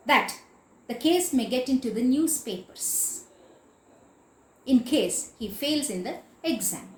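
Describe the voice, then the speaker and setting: A woman teacher giving an explanatory literature lecture in a didactic, emphatic way, repeating and spelling out key words. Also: sits high. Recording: echoey room.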